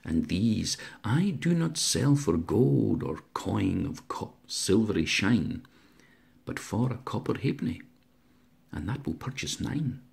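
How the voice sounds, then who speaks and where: A man's voice: lowish voice, expressive, slow, creaky, darkish tone, husky, heavily acted. A man voicing a very old, mild, rambling poor odd-job man in a storybook poem. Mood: earnest.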